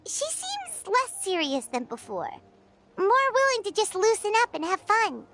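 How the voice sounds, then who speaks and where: A tiny, chatty fairy girl from a video game. The voice is extremely high and squeaky, with very lively swoops. She is childlike and pouty, over noticeable background noise. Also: measured pace, exaggerated voice. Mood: curious, excited. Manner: speaks of self in third person, exclamatory.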